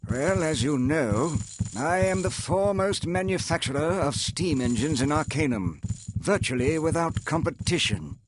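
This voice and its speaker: A man's voice: fairly high pitch, expressive, normal speed, no room sound, rough recording. A man playing a wealthy older industrialist in a video game, proud yet haunted, speaking formally and articulately with a wistful storyteller's manner. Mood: proud, businesslike. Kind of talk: scripted video game dialogue.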